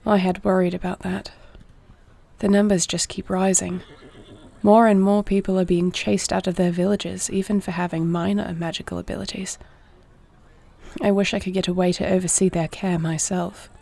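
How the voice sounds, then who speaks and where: A woman playing a duty-bound, caring warrior princess in an audio roleplay, speaking to the listener in a formal, measured, creaky voice. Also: lowish voice, flat delivery. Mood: concerned, caring. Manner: formal, measured, soft-spoken speech.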